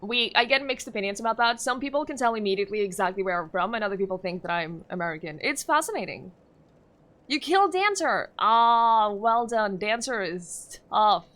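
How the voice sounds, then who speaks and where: A woman's voice: medium pitch, lively intonation, brisk, fairly bright tone. A young woman from Norway chatting casually with her chat while streaming a game, answering questions with frequent fillers and small laughs.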